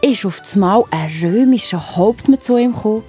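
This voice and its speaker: Female children's storyteller: Swiss German accent, soft dark tone, encouraging direct address, light music bed. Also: medium pitch, lively intonation, normal speed. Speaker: narrator.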